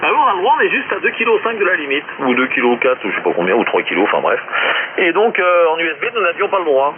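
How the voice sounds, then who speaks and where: A man with a slightly high, very animated voice talks casually as a radio operator. His colloquial speech comes through a narrow shortwave link full of noise. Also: fast. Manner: colloquial, repeats acknowledgements. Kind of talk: casual radio chat with call-sign spelling.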